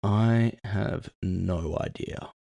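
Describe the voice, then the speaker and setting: A male narrator reads first-person fiction with a measured, brooding delivery. His voice sits low, with a gravelly creak, and the recording is close and dry. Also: dark tone, dry recording, highs cut off.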